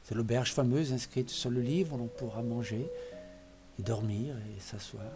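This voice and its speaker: A man recites poetry in a theatrical, literary way. His voice sits at a medium pitch, and he weighs each phrase with expressive emphasis. Music plays clearly behind him. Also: normal speed. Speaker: poetry reader.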